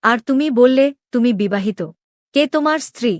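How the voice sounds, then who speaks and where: A woman plays a young princess in a cartoon drama, her voice fairly high and closely recorded. She is proud and wounded in love, pressing her questions with open, demanding emotion. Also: normal speed, no room sound, studio quality, natural voice. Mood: anguished, accusing. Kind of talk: dramatic Bengali animated story dialogue.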